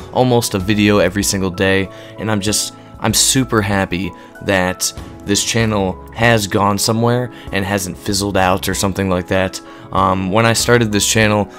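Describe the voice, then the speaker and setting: This is a young man with a hoarse, fading voice, pitched low and monotone. He rambles on earnestly with plenty of ums, talking straight to his audience as if to friends. Soft guitar music plays quietly underneath. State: hoarse, voice going from illness. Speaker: gaming YouTuber. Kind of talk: unscripted casual talk to camera.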